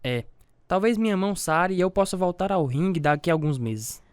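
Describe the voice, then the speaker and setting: A man's voice: high voice, expressive, brisk, smooth, dry recording. A male actor with a Brazilian accent playing an earnest, devout boxer and young father in a reflective radio drama, telling his own story. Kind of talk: radio drama acting with first-person narration. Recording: guitar music under the voice.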